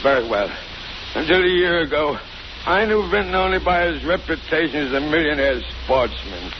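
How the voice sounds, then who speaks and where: A man's voice: on the high side, lively intonation, moderate pace, natural voice. An actor playing a tormented, frightened, guilt-ridden man in a 1930s radio drama, with an anguished, melodramatic delivery. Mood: remorseful. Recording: noisy, muffled 1930s radio recording.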